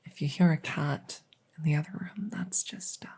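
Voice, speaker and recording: Low, creaky, unhurried woman playing a reassuring vet. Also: a little mellow, in a whisper, full character voice. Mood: gentle, clinical. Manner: hesitant, explanatory, reassuring. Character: kindly, rambling female vet for supernatural patients. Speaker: voice roleplay performer. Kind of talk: ASMR audio roleplay.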